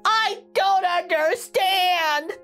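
Woman putting on an eager, high-pitched little rutabaga boy. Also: extremely slow, bright, forceful tone, soft background music, heavily acted. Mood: upset, tearful. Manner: exclaiming, wide-eyed and emphatic. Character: eager, easily upset young rutabaga boy.

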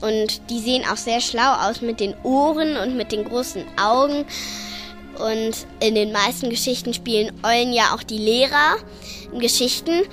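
High young female voice, casually recounting. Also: normal speed, over music.

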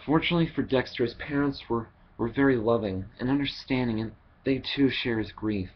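A young man reading scripted dramatic lines as an amateur voice actor, haltingly and with repeated words, into a headset microphone at home. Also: medium pitch. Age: young adult. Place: wood-panelled living room at home. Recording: dull headset audio with background hiss.

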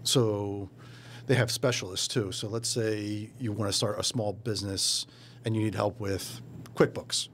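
A man in his forties hosting a podcast, casual and blunt, swearing now and then, on a boom mic in a small studio. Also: steady tone, unhurried, fairly bright tone, slight background noise. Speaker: podcast host. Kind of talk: casual chat. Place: small studio with painted mural. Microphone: boom mic on arm.

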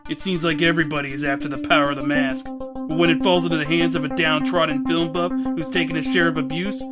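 A male announcer delivers a scripted series preview. His voice is slightly high for a man, and loud music plays underneath it. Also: flat delivery, fast talker. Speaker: announcer for next issue's preview. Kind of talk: scripted narration.

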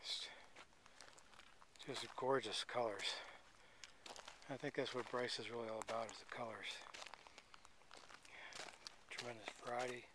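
A man's voice: medium pitch, steady tone, measured pace, long pauses, background noise. A man vlogging on a hike through a canyon, admiring the scenery aloud, recorded outdoors on a handheld camera. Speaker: travel vlogger. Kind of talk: filming while hiking.

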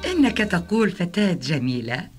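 A woman's voice: fairly low pitch, very animated, normal speed. A woman voicing a sly, cackling old witch in a dubbed cartoon, gloating and drawing out her words. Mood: gloating, coy. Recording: loud music under the voice.

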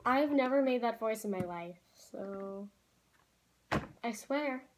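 A teenage girl chats to a camera in a small room at home, her voice sitting a little high. She rambles loosely, stringing thoughts together with "like" and apologizing as she goes. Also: noisy background. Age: teens. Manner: chatty, rambling, with many "like"s and quick apologies. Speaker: vlogger. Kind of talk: casual chat to camera.

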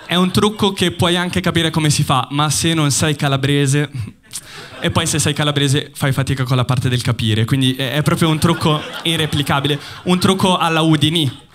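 A young man from Bologna performing a stand-up comedy set on a theater stage, talking into a handheld mic with a deadpan, ironic delivery and pauses for laughs. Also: a little high, background noise. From Bologna, Italy. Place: theater stage before a live audience.

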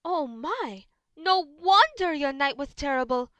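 High-voiced, genteel, theatrical lady unicorn played by a woman. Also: lively intonation, a little slow, pushed and bright, no room sound, full character voice. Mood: shocked, dramatic. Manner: genteel, dramatic. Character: refined, theatrical, doting female unicorn pony. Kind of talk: amateur audio drama acting.

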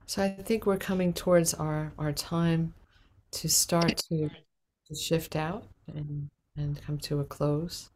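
Middle-aged woman host minister, low, gentle and unhurried, webcam sound. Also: steady tone, slight background noise. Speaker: minister hosting the vigil. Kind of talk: hosting an online prayer vigil. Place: home room with candles, indoors. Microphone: video call webcam.

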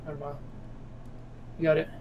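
A man streaming a video game with his team, chatting casually and repeating short commands rapidly. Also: a little high, completely flat delivery, dull audio, very noisy. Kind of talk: casual gaming chatter and callouts. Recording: loud music over game voice chat. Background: loud music.

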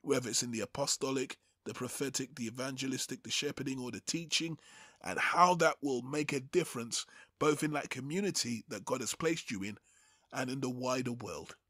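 A man hosting a Bible teaching, speaking in a deliberate, emphatic, phrase-by-phrase sermon style. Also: medium pitch, a bit bright, no room sound, studio quality. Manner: deliberate, emphatic, phrase-by-phrase delivery with rhetorical questions.

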